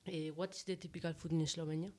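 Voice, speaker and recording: Mellow, lowish woman asking questions. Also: flat delivery, very fast, slight background noise. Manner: tentative non-native English. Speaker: student interviewer. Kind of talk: prepared interview questions.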